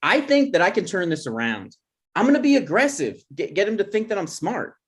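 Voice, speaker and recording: Insecure, defensive man in his twenties played by an actor, very animated delivery, comedy table read. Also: high voice, a little fast. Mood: earnest. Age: young adult. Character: insecure, defensive twenty-something boyfriend and son.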